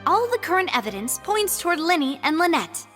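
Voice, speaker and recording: A woman's voice: extremely high pitch, big pitch swings, fairly quick, a bit bright, soft background music, heavily acted. A woman voicing a poised, inquisitive young lady detective in a video game, thoughtful and articulate. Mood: pondering, explanatory.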